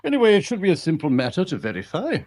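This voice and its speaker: A nosy small-town journalist in a radio drama, with an English accent and a lightish, lively voice. He is wry and breezy. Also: fairly high pitch, rapid-fire, exaggerated voice. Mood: wry, probing. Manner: breezy, drily ironic. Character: wry, inquisitive male small-town newspaperman.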